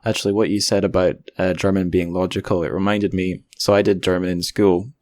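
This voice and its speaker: A man with a notably low voice hosts a podcast interview. He talks in an informal, conversational way, like casual chat. The recording is dry, with hardly any room sound. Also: flat delivery, fairly quick, darkish tone, dry recording. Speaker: podcast host.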